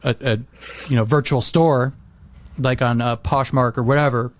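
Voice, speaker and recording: A man chatting casually as a podcast co-host, telling stories in a rambling, halting way with many repeats. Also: medium pitch, steady tone. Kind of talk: casual chat, rambling anecdotes.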